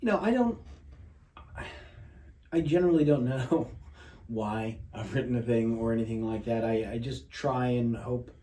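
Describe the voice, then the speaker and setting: A man's voice: mid-range voice, a little slow, pauses often, mellow. A man, a playwright, talking reflectively in a modest, hesitant way in an echoey room with faint noise. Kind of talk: casual reflective conversation.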